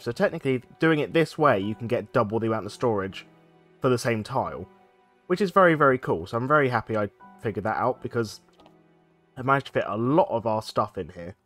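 A man's voice: mid-range voice, lively intonation, a little fast, fairly bright tone, faint music behind. Stuffy-nosed man narrating gameplay. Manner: chatty, thinks aloud while playing. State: stuffy nose from a cold. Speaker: let's play gaming host. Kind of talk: casual gameplay commentary.

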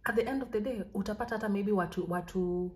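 Young woman, Kenyan accent, casual vlog talk, chatty, encouraging, average pitch. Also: quick pace, darkish tone. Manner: chatty, encouraging, switches between languages. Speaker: vlogger. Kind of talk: casual tutorial talk mixing Swahili and English.

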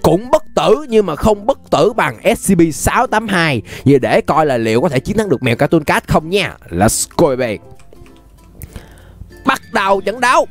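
A man's voice: sits high, big pitch swings, normal speed, soft background music. A young man with a Southern Vietnamese accent giving casual, playful, exclamatory gameplay commentary as a YouTube host. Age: young adult. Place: indoor room, webcam setup.

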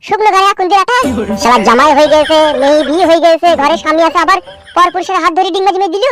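A high-voiced performer, heard as a woman, voicing an angry, foul-mouthed son-in-law in a comic cartoon. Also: racing. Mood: angry, ranting.

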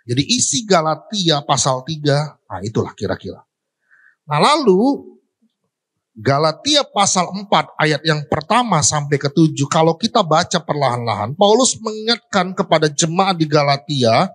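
A man's voice: high voice, a bit bright. Man preaching, questions and swings. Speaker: pastor. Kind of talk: sermon. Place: church stage. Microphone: handheld microphone.

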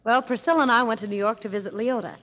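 Bubbly actress on an old radio show. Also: female voice, fairly high pitch, very fast. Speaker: actress guest. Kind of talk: scripted radio banter. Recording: muffled old radio broadcast in a hall.

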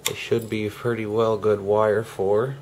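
A man giving a casual hands-on how-to, speaking haltingly in short phrases with pauses mid-sentence, as host of a DIY tutorial. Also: fairly low pitch, level tone, darkish tone, a little hiss or hum, rough recording.

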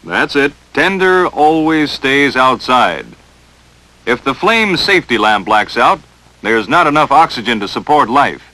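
A man's voice: mid-range voice, very animated, a little slow, sharp tone, no room sound, poor audio. An American man narrating a 1940s instructional film in a stern, emphatic, dramatic coaching manner, on a vintage film soundtrack.

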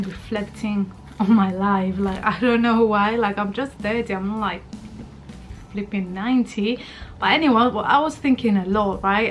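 Chatty thirty-year-old woman vlogging from her bedroom. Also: medium pitch. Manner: rambling, chatty, many fillers. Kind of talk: casual chat to camera. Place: bedroom, indoors. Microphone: camera at arm's length.

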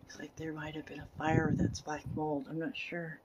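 A woman exploring as a vlogger, giving casual, unhurried walkthrough commentary and musing aloud into a handheld camera. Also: sits low, completely flat delivery, lo-fi sound, very noisy. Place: in and around derelict wooden buildings. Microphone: handheld camera or phone, operator behind it.

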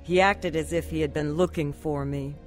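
A woman's voice: low-pitched, fairly even intonation, normal speed, over music, lightly acted. An actress playing a troubled, despondent mother in her thirties, narrating and acting in an earnest radio drama style. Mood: somber, reflective.

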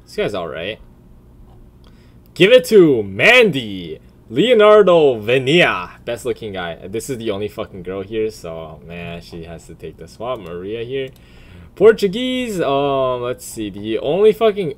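A man giving casual off-the-cuff commentary in a crude, profane, blunt way, often deliberating out loud. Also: medium pitch, very animated, measured pace. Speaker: video creator.

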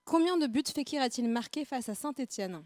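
A young woman co-hosting a sports talk show, reading out quiz questions in a relaxed, chatty way. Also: high-pitched, a little fast, no room sound. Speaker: co-host running a quiz. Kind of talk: casual chat. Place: studio set with sofas.

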